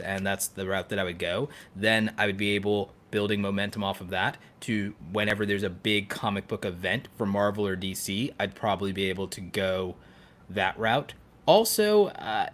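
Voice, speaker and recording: A man with a fairly low voice chats casually as a podcast co-host. He explains his ideas with enthusiasm, working through them aloud point by point. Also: a bit bright. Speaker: podcast co-host and content creator. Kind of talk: casual podcast chat, giving advice.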